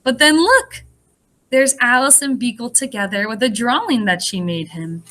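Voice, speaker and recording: A woman speaking as a first-grade teacher, reading and explaining to young children in an animated, encouraging way. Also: a little high, moderate pace. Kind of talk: read-aloud and lesson addressed to young children.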